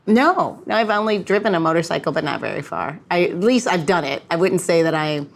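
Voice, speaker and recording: A woman's voice: lowish voice, lively intonation, fairly quick, gravelly. A woman hosting a podcast in casual chat, with a playful, joking, conversational manner. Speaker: podcast host.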